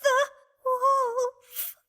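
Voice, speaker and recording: A man's voice: very high voice, completely flat delivery, drawn-out words, pushed and bright, voice pushed up, exaggerated voice. A man voicing a small, frightened young goat in a trembling, plaintive storybook manner.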